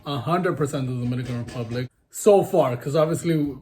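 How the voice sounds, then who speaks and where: A man's voice: mid-range voice, a little fast, mellow. A young man co-hosting a couple's Q&A vlog, teasing his partner and reading out the questions. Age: young adult. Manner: teasing, reads questions aloud. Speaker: vlogger, co-host. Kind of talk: casual couple Q&A chat.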